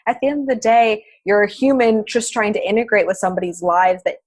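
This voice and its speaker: Young adult woman, podcast guest, mid pitch, upbeat, confident. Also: quick pace, dry recording. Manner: upbeat, confident, speaks in full thoughts. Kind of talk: casual interview chat.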